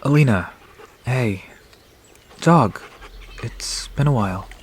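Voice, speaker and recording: A man playing a reluctant, guarded young man in an audio drama, husky and hesitant. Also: mid-range voice, expressive, takes it slowly, a little hiss or hum. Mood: reserved.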